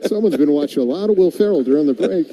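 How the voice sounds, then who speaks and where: Mellow-toned male baseball radio announcer. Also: a little high, fast. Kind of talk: live radio baseball play-by-play with casual banter. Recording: muffled broadcast with steady background noise.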